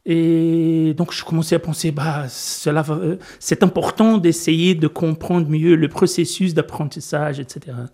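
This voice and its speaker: A middle-aged Brazilian man with a fairly high voice is being interviewed on the radio. He speaks French with a Portuguese accent, hesitating often and restarting his sentences, with an occasional laugh. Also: steady tone, moderate pace, few pauses, dark tone. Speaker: physics lecturer, guest.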